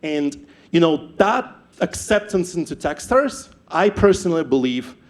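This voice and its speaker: Young ukrainian guy telling his startup story on stage, kinda high voice, stumbles and repeats words. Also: measured pace. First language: not English. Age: young adult. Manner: animated, repeats words and restarts. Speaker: startup founder speaker.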